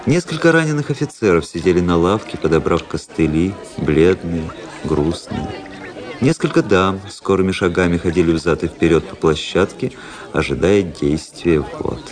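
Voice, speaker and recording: Low-voiced, ironic young officer. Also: male voice, lively intonation, normal speed. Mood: detached, observant. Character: cool, ironic young Russian officer narrating his memoirs. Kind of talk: radio drama narration and dialogue. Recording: radio play with prominent music behind. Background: music.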